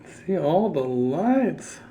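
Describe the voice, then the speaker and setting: A man's voice: fairly high pitch, very animated, extremely slow, mellow, a little hiss or hum, low-quality recording. A man reading a picture book to young children, explaining the pictures and asking them questions as he goes. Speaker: children's storytime reader. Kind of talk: storybook read-aloud with explanatory asides to children.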